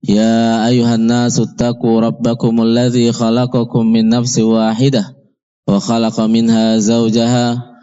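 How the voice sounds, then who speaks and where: A man opening an Islamic sermon with formal, solemn and measured recitation, in slightly muffled audio. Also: medium pitch, mellow, smooth. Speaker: Islamic preacher.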